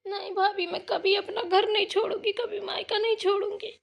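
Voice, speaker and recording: A woman's voice: very high-pitched, level tone, fairly quick, creaky, muffled recording, voice pushed up. A woman voicing a touchy, distressed married daughter in a village family cartoon, with a plaintive, emotional delivery. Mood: apologetic, plaintive. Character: touchy, distressed married daughter visiting her parents' home. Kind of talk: Hindi family moral cartoon dialogue.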